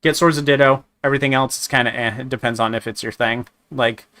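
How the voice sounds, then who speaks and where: A man with a slightly raspy voice from a sore throat, chatting casually while reviewing games, rambling with many restarts and fillers. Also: medium pitch, bright, forceful tone. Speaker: gaming streamer. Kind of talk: casual game review chat.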